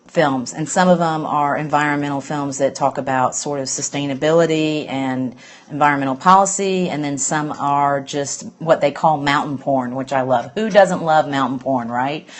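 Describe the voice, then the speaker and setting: A middle-aged woman from Alabama with a Southern accent, chatting in a rambling, easygoing way on a phone live stream as host of a news show. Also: deep voice. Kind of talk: casual live-stream chat.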